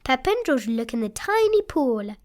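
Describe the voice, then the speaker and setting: A woman's voice: high-pitched, sing-song, normal speed, a little mellow. A woman reading a storybook aloud expressively for small children. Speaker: storybook reader.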